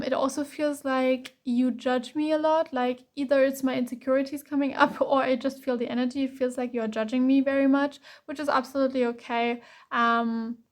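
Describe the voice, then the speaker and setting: A woman with a German accent, German being her first language, giving a blunt, earnest pick-a-card tarot reading full of ums and restarts. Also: sits high. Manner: blunt, earnest, calling the viewer out directly; frequent ums and restarts, repeats "to be honest".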